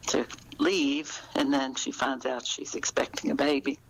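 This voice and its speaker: An older woman, talking in a halting, thoughtful way as an author being interviewed. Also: a bass voice, steady tone, gravelly, a little hiss or hum, poor audio. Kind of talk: conversational interview.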